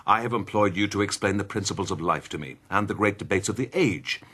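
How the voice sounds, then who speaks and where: A man's voice: on the low side, fast talker, sharp tone, husky. An actor playing an ambitious, impatient young man in a radio drama, with a brash manner. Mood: exasperated. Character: ambitious, impatient, cocky young male fortune hunter.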